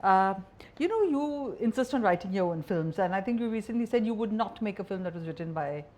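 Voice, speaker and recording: A woman's voice: medium pitch, lively intonation, a little fast, a little mellow. A woman interviewing conversationally as a journalist.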